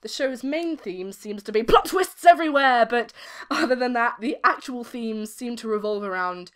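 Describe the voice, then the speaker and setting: A young woman giving articulate, expressive interview answers as an amateur voice actress. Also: a little high, moderate pace. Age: young adult.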